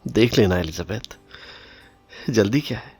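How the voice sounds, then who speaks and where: A male actor plays a haunted young scientist and devoted bridegroom in an audio drama. His low Indian-accented voice moves slowly, anxious and secretive, over faint music. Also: expressive, gravelly, husky, heavily acted. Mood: tender, amorous. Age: young adult. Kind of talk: Hindi audio drama acting and first-person narration.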